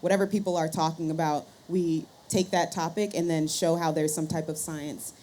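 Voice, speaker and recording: A woman's voice: low voice, steady tone, normal speed, mellow, a little hiss or hum. A woman podcast host explaining her show in conversational panel talk.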